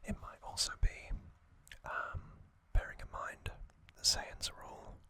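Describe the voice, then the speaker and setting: An ASMR host whispering casual trivia, hushed and unvoiced, musing with frequent ums. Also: unhurried, no room sound.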